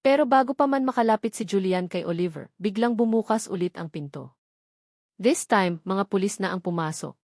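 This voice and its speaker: A woman's voice: medium pitch, animated, normal speed, darkish tone, no room sound, studio quality. Woman narrating a dramatic love story. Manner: earnest, dramatic.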